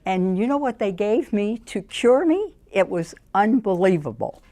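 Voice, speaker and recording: An older woman, chatting lively and laughing often as she tells her story as a patient. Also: mid-range voice, unhurried. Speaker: patient giving a testimonial. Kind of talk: personal testimonial.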